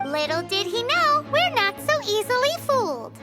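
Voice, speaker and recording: A woman voicing a small, excitable, childlike fairy companion with a squeaky voice in a video game. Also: moderate pace, over loud music. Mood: smug, curious. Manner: squeaky, speaks of herself in third person.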